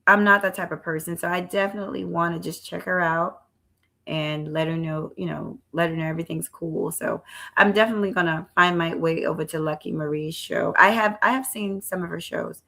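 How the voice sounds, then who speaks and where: A woman's voice: fairly low pitch, steady tone, fairly quick, creaky, fairly bright tone. A woman in her forties hosting a live stream, chatting casually with frequent restarts and repeated words. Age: 40s. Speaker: live-stream show host.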